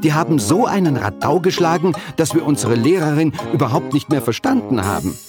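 A man's voice: a little high, sing-song, brisk, music in the background, pitched above the performer's own voice. A man voicing an earnest, cheeky schoolgirl who tells tall tales insistently in a children's storybook reading. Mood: excited telling.

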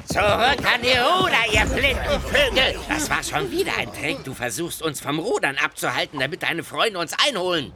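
A man voicing a gruff but soft-hearted cartoon pirate first mate who gives orders to a crew, with music behind. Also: high voice, very animated, moderate pace, hardly any pauses, crowd noise. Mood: commanding. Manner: barking orders, easily softened. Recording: music under the voice.

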